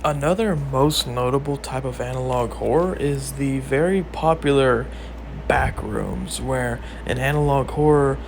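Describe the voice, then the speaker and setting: Creaky-voiced man over a noisy background. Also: mid-range voice, a little slow. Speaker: video creator and host. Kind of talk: video essay commentary on horror.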